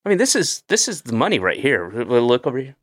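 A man's voice: mid-range voice, very animated, quick pace, no echo. A man in his late fifties hosting a podcast, chatting casually and rambling with self-deprecating asides and restarts. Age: 50s. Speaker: podcast host. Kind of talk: casual chat.

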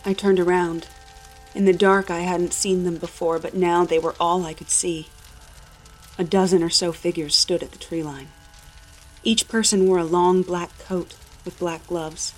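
A woman's voice: on the low side, steady tone, a little slow, soft background music, poor audio. A woman reading a first-person horror story as a dramatic narrator.

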